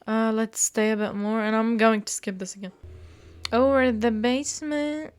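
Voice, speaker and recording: Female game streamer, higher register, unhurried delivery, reads story text with stumbles and blunt, playful asides, music underneath. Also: steady tone, smooth. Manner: stumbles over words while reading aloud. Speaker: game streamer doing a walkthrough. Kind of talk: casual visual novel read-aloud with commentary.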